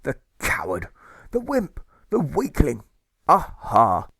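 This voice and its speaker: A man's voice: fairly high pitch, huge pitch swings, slow, vocal fry, fairly bright tone, slightly rough, no room sound, clean studio sound, pitched above the performer's own voice, heavily acted. A man voicing a bombastic, maniacal admiral who declaims and barks exclamations in a rhyming audiobook. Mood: scornful, bombastic. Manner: declamatory, barking exclamations, demented cackles. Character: bombastic, maniacal male admiral rallying for war.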